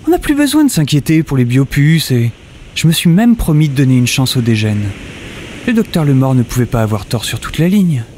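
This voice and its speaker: A man's voice: medium pitch, sing-song, fairly quick, darkish tone, a little hiss or hum, lightly acted. A man playing an upbeat young hero who tells his own adventure in a casual audio drama, chatting directly to listeners. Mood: hopeful, excited. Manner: conversational, addresses listeners directly.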